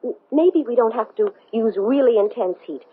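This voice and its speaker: A woman's voice: fairly high pitch, normal speed, husky. An actress playing a determined, anguished woman possessed by an alien, in an intense 1970s radio drama style. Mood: resolute. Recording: muffled vintage radio recording.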